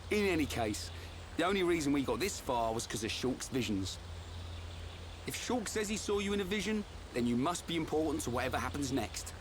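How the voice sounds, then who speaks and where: A blunt, loyal young fighter in a video game. His voice is fairly high and swings boldly, cocky and informal. Faint ambience runs behind it. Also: big pitch swings, brisk, noisy background. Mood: hearty.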